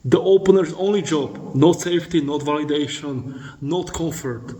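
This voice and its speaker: A man's voice: a little high, moderate pace, dark tone. A man giving blunt, profane dating instruction as a voice-over, lecturing and addressing the listener directly. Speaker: dating coach.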